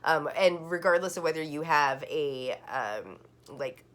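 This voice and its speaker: A woman's voice: low voice, animated, a little slow, fairly bright tone. A woman giving a casual online tarot reading, rambling and full of "like" fillers and restarts. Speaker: tarot card reader.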